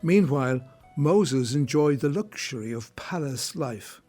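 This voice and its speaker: A man reading scripted narration for a radio drama in a measured, solemn way. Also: mid-range voice, expressive. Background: soft music.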